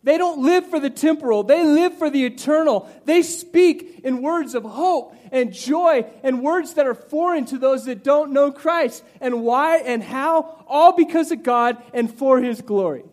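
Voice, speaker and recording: A man in his forties preaching a sermon in a conversational, animated way, with asides to the listeners and some restarts. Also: unhurried, few pauses. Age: 40s. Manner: animated, conversational preaching with rises in pitch and volume. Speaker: pastor.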